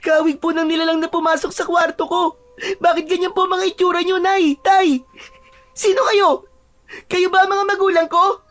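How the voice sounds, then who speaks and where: A woman's voice: high voice, fairly even intonation, normal speed, pauses often, no room sound, natural voice. A woman voicing a frightened, pleading young child in a dramatized story. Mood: scared, confused.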